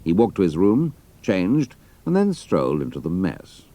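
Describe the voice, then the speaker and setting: A man's voice: sits low, wildly swooping pitch, normal speed, mellow. A man giving a dramatic scripted narration of an adventure story.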